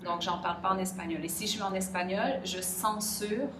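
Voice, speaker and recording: A middle-aged woman with a Québécois French accent answers questions in a measured, reflective way, often pausing to search for the right word. She sits some distance from the mic in a roomy, echoing space. Clear background noise runs under her voice throughout. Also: mid-range voice, fairly even intonation. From Quebec. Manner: thoughtful, pauses to search for words.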